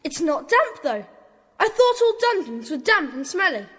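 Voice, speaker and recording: A woman's voice: high voice, big pitch swings, moderate pace, a bit bright. A woman playing an earnest, inquisitive young schoolboy detective in a children's audio drama. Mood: curious. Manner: earnest, questioning. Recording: long echo of a stone dungeon.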